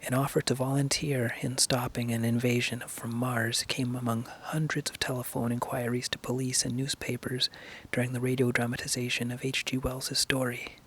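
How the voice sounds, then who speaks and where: A man with a husky, gravelly voice hosting and introducing an old-time radio show, partly reading from text. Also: medium pitch, level tone, moderate pace, a bit bright, a little hiss or hum. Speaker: channel host.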